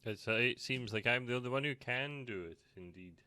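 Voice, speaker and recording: A man giving playful, halting, joking commentary while playing a video game. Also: medium pitch, bright, forceful tone. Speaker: game streamer.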